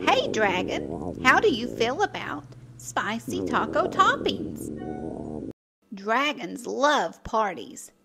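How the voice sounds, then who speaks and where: A woman reading a children's story aloud in a playful, expressive way, speaking directly to her listeners as narrator and host. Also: mid-range voice, takes it slowly, creaky, music in the background. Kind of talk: animated read-aloud storytelling.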